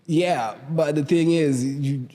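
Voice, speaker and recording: A man's voice: a little high, takes it slowly. Guy with kenyan accent, laid back, talks in a room with some echo. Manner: relaxed, says "you know what I mean" often. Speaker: guest. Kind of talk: casual interview chat.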